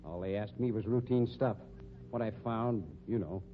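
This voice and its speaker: A man's voice: on the low side, moderate pace, natural voice. An actor playing a shady, hot-tempered carnival showman with an American accent and slangy, blustering talk in a 1950s radio drama. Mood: uneasy. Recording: dull, noisy 1950s radio recording.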